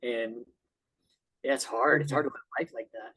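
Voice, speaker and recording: A man's voice: mid-range voice, fairly even intonation, normal speed, bright, forceful tone, slightly rough, rough recording. A man in an earnest, reflective conversation on a video call, speaking as a guest. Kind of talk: conversational discussion, recommending books.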